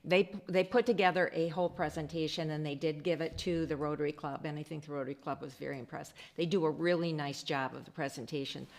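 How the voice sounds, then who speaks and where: A woman village trustee with a loud voice, giving a chatty report at a council meeting with many pauses and ums. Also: low voice, fairly even intonation.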